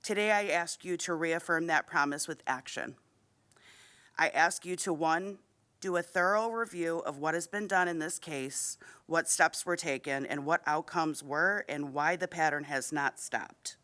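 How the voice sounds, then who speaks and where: A woman with a low voice reads a prepared statement in a formal, earnest and measured way. She is slightly unwell and speaks into a podium microphone at a school board meeting. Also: bright, forceful tone. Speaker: parent giving public comment.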